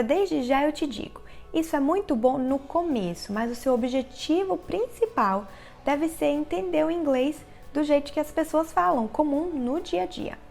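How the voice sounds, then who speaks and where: A woman with a Brazilian Portuguese accent explaining English listening tips directly to the viewer in a clear, instructional vlog narration. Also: high-pitched. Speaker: English teacher, channel host. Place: living in the United States.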